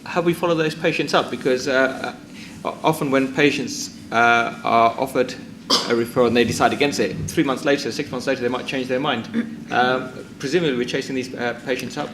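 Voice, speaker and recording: A board member at a formal meeting asking a question, hesitant with many fillers. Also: male voice, mid-range voice, fairly even intonation, brisk, fairly bright tone, a little hiss or hum.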